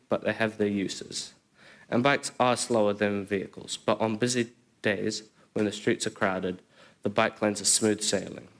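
A man reading a prepared speech at a community event with steady, even delivery. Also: on the low side. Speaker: community speaker.

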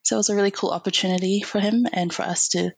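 A woman's voice: medium pitch, fairly even intonation, quick pace, vocal fry, breathy edge, no echo. A young woman from New Zealand, raised in Hong Kong, talking casually as a podcast guest from home, laughing often between fillers. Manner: giggly, with many fillers and laughs. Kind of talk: casual interview talk.

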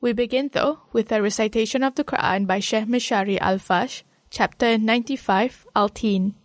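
A woman radio host reading her introduction in a measured, formal way. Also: a little high, no echo, clean studio sound. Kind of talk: scripted radio hosting.

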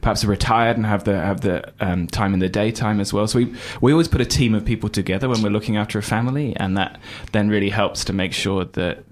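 A man from the UK with a British accent softened by New Zealand speech, talking about volunteering with frequent pauses and restarts. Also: fairly low pitch. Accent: British with New Zealand touches. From United Kingdom. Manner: halting, many fillers and restarts; upbeat and enthusiastic. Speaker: Red Cross volunteer coordinator. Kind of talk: radio interview guest.

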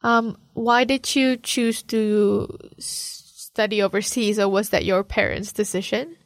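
Slow, soft-spoken woman interviewer. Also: mid-range voice, steady tone, no room sound. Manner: soft-spoken, encouraging with frequent murmured acknowledgements. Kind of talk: conversational interview.

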